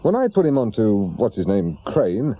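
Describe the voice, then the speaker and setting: A man's voice: lowish voice, big pitch swings, normal speed. A man playing a wry, bitter middle-aged English private investigator, once a policeman, with a dry, grumbling, colloquial way of talking in a radio drama. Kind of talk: naturalistic British radio drama acting. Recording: muffled radio recording with clear background noise.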